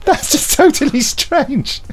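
A man's voice: very high-pitched, animated, takes it slowly, soft background music. A British man hosting a podcast in casual, teasing chat. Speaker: podcast host.

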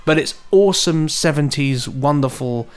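A man's voice: fairly high pitch, moderate pace, a little hiss or hum. A man co-hosting a podcast, chatting casually and jokily and reading out listener emails. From England. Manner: chatty, jokey. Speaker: podcast co-host.

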